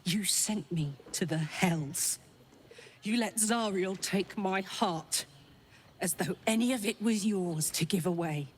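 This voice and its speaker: A woman's voice: mid-range voice, slow pace, a little hiss or hum, lightly acted. A woman playing a fierce, betrayed warrior woman in a video game, blunt, sardonic and emotionally raw. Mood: bitter, menacing. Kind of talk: dramatic video game acting.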